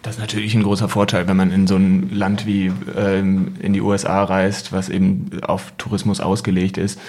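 Young male podcast guest, lowish and husky, reflective, conversational recollection. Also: completely flat delivery, moderate pace, darkish tone. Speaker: podcast guest, son in a travelling family.

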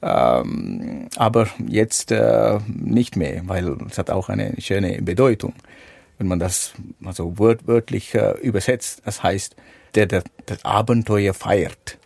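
A man from Sri Lanka with a Sri Lankan accent, answering interview questions in a halting way with many fillers as he searches for words. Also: on the low side, gravelly, a little mellow, no room sound. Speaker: guest, adventure speaker. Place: seated at a small table with four people.

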